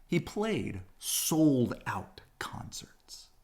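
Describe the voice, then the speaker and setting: A man reading a children's story aloud as expressive bedtime narration, with dramatic, drawn-out phrasing. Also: medium pitch, vocal fry, whispered.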